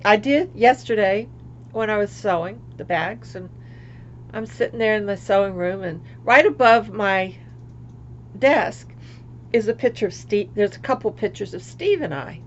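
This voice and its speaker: An older woman from Florida, chatting casually on her craft livestream, rambling and laughing at herself, often trailing off quietly. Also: mid-range voice, unhurried, lo-fi sound, a little hiss or hum. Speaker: craft vlogger.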